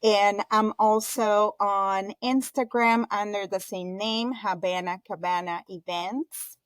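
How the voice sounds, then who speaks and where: A woman's voice: medium pitch, fairly even intonation, slow, clean tone, dry recording. A woman from Colombia with a Colombian Spanish accent, a native Spanish speaker, chatting upbeat as a podcast guest. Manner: chatty and upbeat, laughs easily. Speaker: guest, event business founder. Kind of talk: podcast interview conversation.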